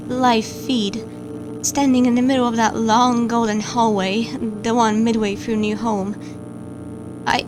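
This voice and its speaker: A woman's voice: a little high, poor audio. A woman voicing a stammering, anxious watcher. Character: anxious, guilt-ridden woman watching camera feeds. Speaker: narrator of a horror log. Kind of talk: confessional horror log narration. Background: music.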